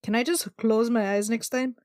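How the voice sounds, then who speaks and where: Female game player, fairly high voice, casual roleplay chat, dry sound. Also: fairly even intonation, moderate pace, a little mellow, no echo. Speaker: player in a tabletop game podcast.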